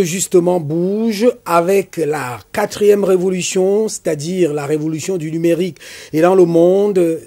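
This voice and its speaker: A man's husky voice, set a little high for a man, hosting a television talk show. He speaks emphatically, leaning on key words and stretching them out. His tone is rhetorical, as if he were opening a debate. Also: warm tone. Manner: emphatic, rhetorical, slows down to stress points. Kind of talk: hosting a studio interview. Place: TV studio.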